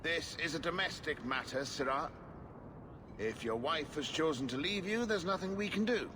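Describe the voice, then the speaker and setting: A man's voice: fairly high pitch, expressive, moderate pace, long reverb tail, background noise, close to an everyday voice. A man voicing an officious, unsympathetic city guardsman in a video game, speaking in a curt, formal manner. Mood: dismissive.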